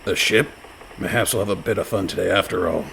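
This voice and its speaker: Gravelly, surly pirate crewman. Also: deep voice, fast, a bit bright, slight background noise, exaggerated voice, low-quality recording. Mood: eager, sardonic. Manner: salty pirate slang, sardonic. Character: surly, bloodthirsty pirate crewman, sardonic and brash. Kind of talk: comedic radio play acting.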